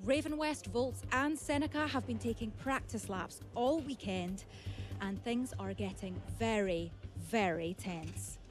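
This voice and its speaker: Woman as high-voiced motorsport TV reporter. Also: measured pace, faint music behind, natural voice. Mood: suspenseful. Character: motorsport TV reporter building suspense. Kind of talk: broadcast sports report.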